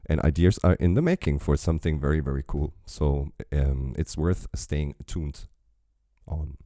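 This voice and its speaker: Very deep, dark-voiced man chatting. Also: a little slow, no room sound, studio quality. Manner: rambling, chatty, trailing asides. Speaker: reaction channel host. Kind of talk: casual reaction commentary.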